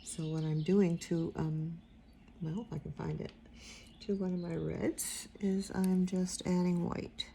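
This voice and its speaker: Quiet, gravelly older woman. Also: fairly low pitch, a little hiss or hum. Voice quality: quiet soft-spoken voice. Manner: rambling, trails off and mumbles while focusing. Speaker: watercolor instructor. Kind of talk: casual painting tutorial.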